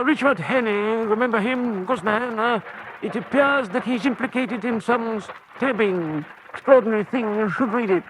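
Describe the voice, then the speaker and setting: Very high-pitched, excitable British gentleman. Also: slight background noise, poor audio. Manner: hesitant, exclaiming, stammering start to phrases. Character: excitable, chatty British gentleman full of gossip. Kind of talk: radio drama acting.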